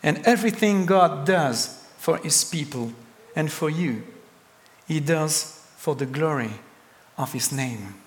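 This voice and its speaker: A man with a French accent preaching a sermon as a pastor, speaking slowly and deliberately with emphatic pauses. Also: on the high side, lively intonation, in a large hall.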